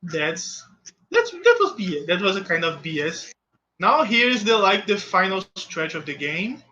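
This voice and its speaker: Portuguese-accented guy narrating his speedrun, slow and halting, bright sharp tone. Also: sits high, very animated, lo-fi sound. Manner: halting, restarts phrases, self-mocking. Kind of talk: live gameplay commentary.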